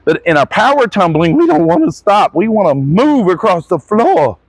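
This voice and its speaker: A man's voice: high voice, sing-song, normal speed, dry recording. A man coaching gymnastics, giving hands-on instruction with short encouraging corrections as he demonstrates. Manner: encouraging, corrective asides to athlete.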